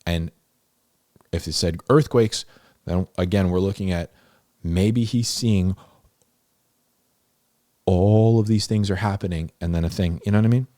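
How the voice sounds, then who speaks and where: Male Bible teacher, low register, dry sound, unscripted and conversational, emphatic repetition. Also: measured pace, long pauses, dark tone, dry recording. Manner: conversational and emphatic, repeats key phrases for stress. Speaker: host of a Bible-explainer series, teacher.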